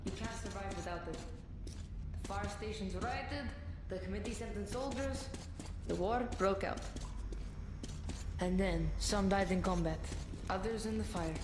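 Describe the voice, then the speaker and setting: A woman voicing a soft-spoken, earnest and helpful young boy survivor in a video game. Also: medium pitch, lightly acted. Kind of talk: video game cutscene acting. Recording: echoey reverberant room, background noise.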